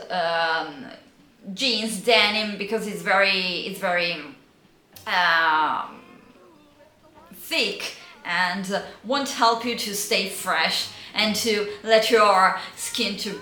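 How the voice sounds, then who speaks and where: A woman with an Italian accent, a native Italian speaker, giving casual style advice in a vlog, often pausing to search for words. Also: mid-range voice, echoey room. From Italy. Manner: hesitant, searching for words, frequent fillers and soft laughs. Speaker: vintage style vlogger and yoga teacher.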